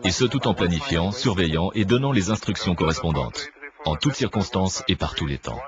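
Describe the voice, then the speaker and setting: A man reading a scripted documentary narration in a measured, didactic manner. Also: deep voice, animated, highs cut off, a crowd in the background. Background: clear background music.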